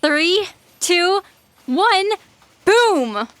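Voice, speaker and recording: Woman acting a high, snide machine program. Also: very animated, extremely slow, noisy background, exaggerated voice. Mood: gleeful. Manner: snide, flippant. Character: gleefully callous, sarcastic self-destruct program. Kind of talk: audio drama acting.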